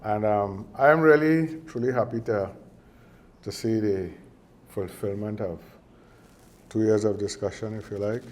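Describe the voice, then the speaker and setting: Older trini guy rambling through a ceremony speech, jokey, lowish soft voice. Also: a little slow, pauses often. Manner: chatty, joking, meandering with many fillers and restarts. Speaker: university chairman at signing ceremony. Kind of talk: formal ceremonial speech with personal anecdotes.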